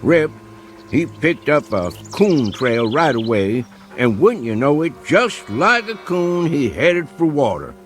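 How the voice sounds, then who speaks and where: Casting: plain-spoken country coon hunter, stubborn, Southern rural drawl, expressive melody, faint music underneath. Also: male voice, a little high. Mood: amiable, puzzled. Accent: rural Southern American. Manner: homespun, unhurried, plain-spoken. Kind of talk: vintage television drama acting.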